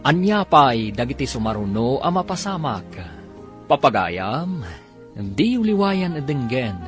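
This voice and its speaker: Radio drama narrator: Ilocano Filipino man, medium pitch, highly expressive, dramatic scripted read over music. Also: unhurried.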